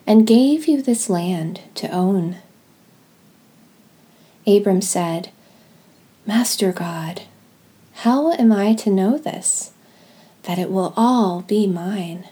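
A woman leading a guided devotional reading in a gentle, deliberate way, pausing between short phrases. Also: medium pitch. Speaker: podcast host leading guided prayer and scripture reading.

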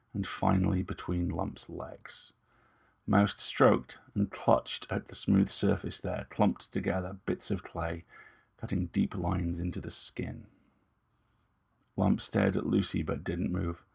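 A man's voice: deep voice, creaky, husky. A man reading scripted dramatic fiction as narrator.

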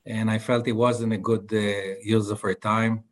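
Casting: middle-aged Israeli-accented man, low even voice, hesitant podcast guest. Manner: halting, many filler sounds. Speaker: guest entrepreneur. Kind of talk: podcast interview.

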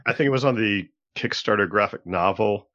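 A man with a fairly low voice talks casually as a podcast panelist. The recording is somewhat dull and lo-fi, lacking top end. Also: brisk, fairly bright tone. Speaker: podcast co-host or returning guest. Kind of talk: casual chat. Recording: dull, lo-fi microphone sound.

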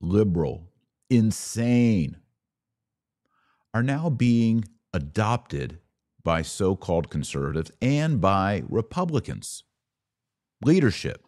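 An American man hosting a political commentary podcast, speaking in a deliberate, emphatic monologue that leans on repeated words for stress. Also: fairly low pitch, very animated, darkish tone. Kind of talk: opinion monologue to camera.

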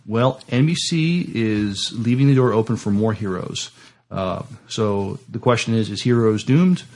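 Low, creaky-voiced man, halting co-host. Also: darkish tone. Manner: halting, stammering, tentative. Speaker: podcast co-host. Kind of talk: casual discussion, reading out entertainment news.

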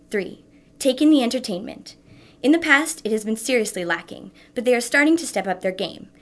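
Woman reading out scripted tips. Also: fairly high pitch. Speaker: shop owner presenting a tips segment. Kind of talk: scripted narration, numbered tips.

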